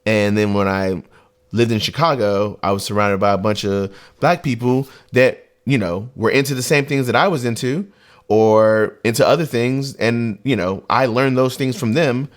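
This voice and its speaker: A man's voice: mid-range voice, moderate pace. A young adult man co-hosting a podcast, talking in a loose, conversational way with plenty of filler asides. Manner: loose, conversational, frequent "you know what I mean". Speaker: podcast co-host. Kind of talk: casual podcast discussion.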